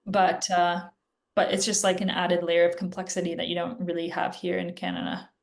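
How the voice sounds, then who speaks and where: A woman physician answering interview questions in a casual, chatty way, often pausing with "like" and "um", heard over a video call. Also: fairly low pitch, flat delivery, vocal fry. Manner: chatty, filler-heavy, frequent "like" and "um". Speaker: guest physician.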